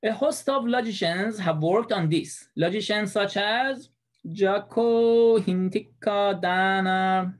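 Man, 30s, Persian accent, student presenter recorded on webcam; halting delivery with frequent fillers. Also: high voice. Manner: hesitant, many fillers and restarts. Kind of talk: class presentation lecture.

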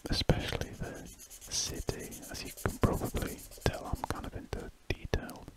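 A British man whispering breathily in a rambling, hesitant ASMR style, with trailing pauses. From United Kingdom. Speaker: ASMR artist narrating while drawing. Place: indoors at a desk, drawing. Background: pen scratching on paper.

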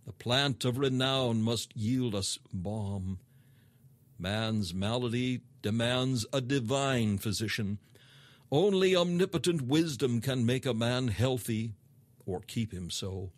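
A man reading a scripted sermon as audiobook narration in a formal, measured, emphatic manner. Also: medium pitch, no echo. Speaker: sermon reader.

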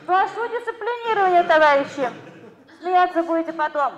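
A young woman marshalling party games in a Soviet radio play. Her voice is extremely high, and she orders people about in stiff, bureaucratic announcer phrases. A noisy crowd fills the space behind her. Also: animated, a little fast, dull audio. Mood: insistent. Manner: bossy, stilted announcer phrasing. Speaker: evening entertainer. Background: noisy crowd in a hall.

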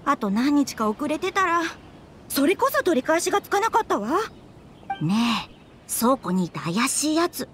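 A sociable female editor in a game. Her voice is high and animated, with casual feminine phrasing, over faint background sound. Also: moderate pace, slight background noise. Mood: worried. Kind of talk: Japanese game cutscene acting.

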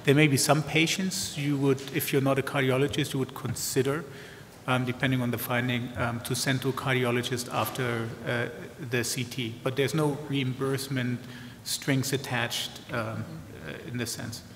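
A man's voice: medium pitch, little pitch movement, normal speed, a bit bright, a little hiss or hum. A man, a cardiologist on a conference panel, answering with many uhs and an uneven pace. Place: large conference ballroom. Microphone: table microphone. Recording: echoey hall with long reverb.

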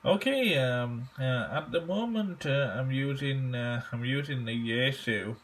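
Male ham operator, unhurried, halting delivery, careful phonetic spelling and repetition. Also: medium pitch, a bit bright, a little hiss or hum. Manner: halting, deliberate, repeats key phrases. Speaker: amateur radio operator.

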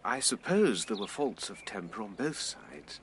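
An actor playing an earnest, gentle and loyal writer friend in a period radio drama, with formal, tactful, pleading speech. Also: male voice, on the low side, sing-song, unhurried, a little hiss or hum, heavily acted.

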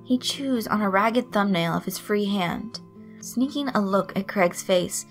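A woman's voice: mid-range voice, a little slow. Woman narrating a story over music. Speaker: fan fiction reader. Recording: soft music behind the voice. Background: guitar loop, night insect sounds.

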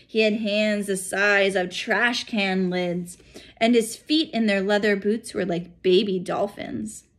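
A woman in her thirties narrating a storybook aloud for children in an expressive way, indoors at arm's length from the microphone. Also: medium pitch, takes it slowly. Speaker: school principal reading aloud. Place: small home room, indoors. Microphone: phone or tablet at arm's length.

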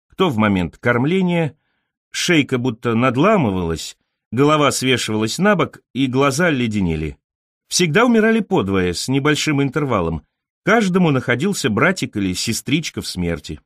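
A man reading a first-person literary story as an audiobook narrator, in a measured, expressive storytelling manner on a close studio microphone. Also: mid-range voice, no echo.

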